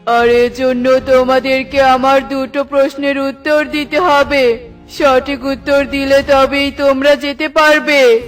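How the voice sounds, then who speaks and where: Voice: sits high, little pitch movement, takes it slowly, soft background music. A performer voicing a stern, sly forest sorcerer in a children's cartoon, with a commanding manner. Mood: menacing.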